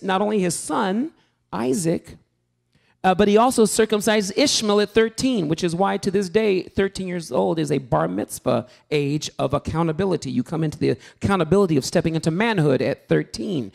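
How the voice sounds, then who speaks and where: A man teaching a sermon-preparation workshop to a live group in a church hall, animated and joking, calling out to the room. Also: sits high, vocal fry. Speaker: preaching instructor.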